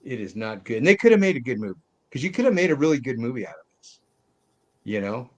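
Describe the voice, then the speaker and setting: Male film-show co-host, mid-pitched, blunt and opinionated, casual salty chat. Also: very animated, quick pace, a little mellow. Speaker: movie podcast co-host. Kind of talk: casual movie discussion.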